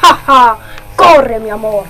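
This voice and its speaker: A woman's voice: a little high, lively intonation, slow, music in the background. A woman with an Argentine accent acting as a lover or villain in an amateur radio drama. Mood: menacing.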